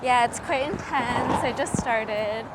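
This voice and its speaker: Casting: young American woman, fairly high voice, hesitant casual talker, interviewed amid clear traffic noise. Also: takes it slowly. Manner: hesitant, peppered with "like" and "kinda". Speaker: interviewee, criminal defense mitigation specialist. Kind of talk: casual chat. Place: outdoors on a street. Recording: noisy outdoor street with traffic.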